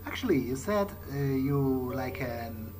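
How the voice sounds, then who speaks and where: A man role-playing a video game character, thinking aloud in a halting, musing way. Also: mid-range voice, animated, noisy background. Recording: loud game music behind the voice.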